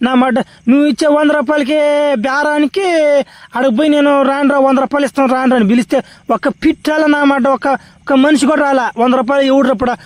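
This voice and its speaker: A man's voice: very high voice, steady tone, fast, no room sound. A man voicing a cowardly, grumbling underling in a comedy cartoon, in a rambling, complaining way. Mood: aggrieved complaining. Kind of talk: comic cartoon dubbing.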